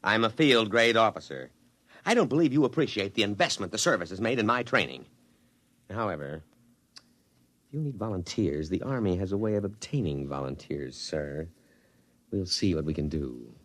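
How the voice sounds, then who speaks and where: An actor playing a pompous, ambitious army colonel in a 1950s radio drama, speaking with clipped military formality. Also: male voice, on the low side, lively intonation, moderate pace, a bit bright. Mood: officious, firm. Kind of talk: 1950s radio drama acting and first-person narration. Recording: vintage 1950s radio broadcast with music.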